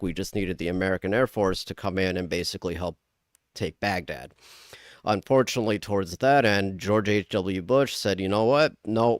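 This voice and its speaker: Smooth, low-voiced man from Iraq. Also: dry recording, studio quality. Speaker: interview guest. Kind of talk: conversational personal account. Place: live at a political convention.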